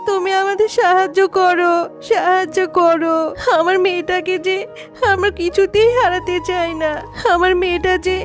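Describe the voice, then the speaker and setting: A desperate young village mother from a cartoon, acted by a woman, speaking in an extremely high voice. She is plaintive and pleading, poor and full of worry, with music playing underneath. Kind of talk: cartoon dialogue. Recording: music behind the voice.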